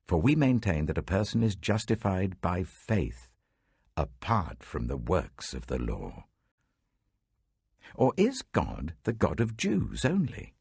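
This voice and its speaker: A man giving a measured, dramatic reading of Bible passages in a close studio recording. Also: creaky, husky, no echo. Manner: measured, dramatic, lively pitch shifts. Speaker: Bible reader. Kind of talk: expressive scripture reading.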